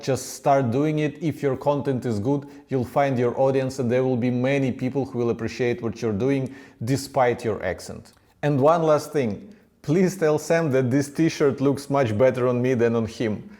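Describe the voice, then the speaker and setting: Man with a strong Ukrainian accent, mellow. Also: medium pitch. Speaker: company founder sharing advice. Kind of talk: direct-to-camera advice.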